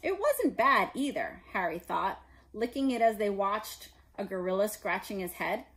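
A woman in her forties reading a children's story aloud as an expressive narrator. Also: mid-range voice, normal speed. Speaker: storybook reader. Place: living room indoors.

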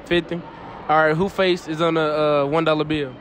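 A man's voice: a little high, little pitch movement, normal speed, a bit bright, a little hiss or hum. A young man interviewing strangers in a shopping mall, repeating their answers back and laughing often. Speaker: street interviewer. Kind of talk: casual street interview. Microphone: handheld phone.

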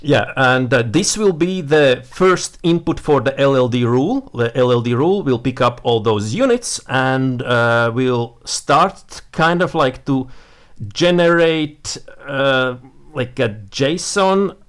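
A young man with a Latvian accent, a native Latvian speaker, walking through a software setup on a screen share in a small room, talking with many fillers and restarts. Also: mid-range voice, lively intonation, takes it slowly. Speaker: software tutorial presenter.

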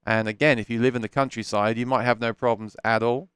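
A man in his thirties chats candidly through a headset mic in a small home studio, answering viewers' questions with frequent restarts. His voice is smooth and resonant, set at an ordinary middle pitch. The sound is dry and close. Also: fairly even intonation, quick pace, fairly bright tone, no echo. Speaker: live stream host. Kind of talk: casual live chat answering viewer questions. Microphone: headset microphone.

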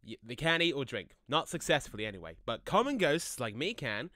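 Audio drama ghost played by a man: jokey, laid-back, chatty, bright crisp tone with very animated pitch. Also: fairly quick, dry recording, clean studio sound. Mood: casual, rambling. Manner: casual, slangy, rambling. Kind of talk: audio play acting.

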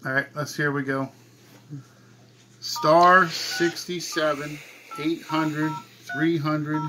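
A man's voice: on the high side, little pitch movement, slow. Man muttering casual commentary, faint room noise. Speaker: prank-call video host. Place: small cluttered room at home. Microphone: camera across the table.

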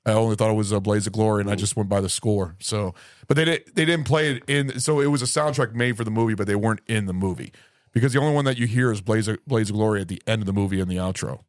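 A man co-hosting a movie podcast, hoarse from a recent flu, chatting casually and excitedly, swearing freely and tripping over words. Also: lowish voice, fast. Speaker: podcast co-host. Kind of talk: casual movie review chat.

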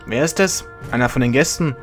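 A man's voice: mid-range voice, brisk, faint music behind, close to an everyday voice. A man playing a callous henchman who taunts his captive in a flippant way, in a fantasy audio drama. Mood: taunting. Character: brisk, callous male henchman taunting a captured child. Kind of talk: German fan audio drama acting.

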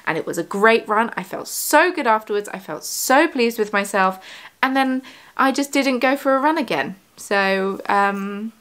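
A woman's voice: on the high side, expressive, moderate pace, fairly bright tone. Woman, young adult, rambling vlog chatter with ums, living room, close camera. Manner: chatty, rambling, many fillers and self-corrections. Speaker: yarn shop owner and vlogger. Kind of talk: casual studio vlog. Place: living room at home, indoors. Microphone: camera on desk at close range.

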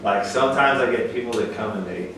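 A man working as a fishing guide, talking casually and folksily at a dining table, sometimes acting out quick exchanges. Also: medium pitch, background noise. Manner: relaxed, folksy, acts out quoted exchanges. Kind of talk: casual roundtable discussion. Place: dining room around a table. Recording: echoey room from far off.

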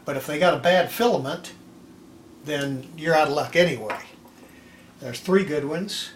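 Older male hobbyist, slightly high voice, folksy unscripted demo delivery, roomy sound with some clatter. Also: moderate pace. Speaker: hobbyist restorer presenting his repair video. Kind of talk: casual unscripted workbench demonstration. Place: indoor home electronics workshop. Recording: roomy echo, clattering tool and handling noise.

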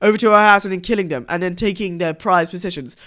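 Young adult game YouTuber, casual reaction commentary, sharp bright tone, mid-range pitch. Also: quick pace. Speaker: YouTuber reviewing game cutscenes.

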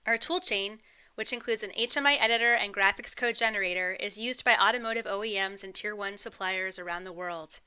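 A woman reading a scripted promotional voice-over in a polished, upbeat, even delivery. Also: mid-range voice, fairly quick, smooth. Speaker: corporate narrator.

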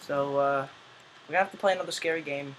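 A man's voice: fairly high pitch, fairly even intonation, fast talker, a bit bright, a little hiss or hum, rough recording. A young man co-hosting a casual gaming video at home, jokey and reading screen text aloud for his friend. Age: young adult. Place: small home room, indoors.

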